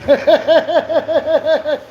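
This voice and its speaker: A man's voice: very high-pitched, steady tone, extremely slow, muffled recording. A man co-hosting a live stream, chatting in a rambling, preachy way with lots of stammered restarts. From USA. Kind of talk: rambling casual chat. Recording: noisy live stream with background hum.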